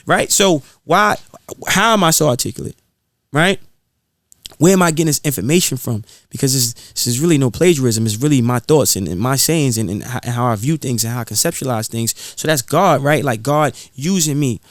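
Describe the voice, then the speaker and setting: Emphatic man, slightly high voice. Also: vocal fry, dry recording, studio quality. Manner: emphatic, repeats phrases for emphasis. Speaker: guest rapper being interviewed. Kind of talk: impassioned, preachy podcast talk.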